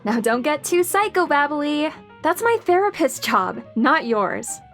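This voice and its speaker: A high-strung woman with a very high voice. She is intense, argumentative and exacting, a perfectionist in an audio dramedy. Light music sits behind her. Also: sing-song, a little slow, a bit bright, full character voice. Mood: teasing. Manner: intense, demanding, indignant.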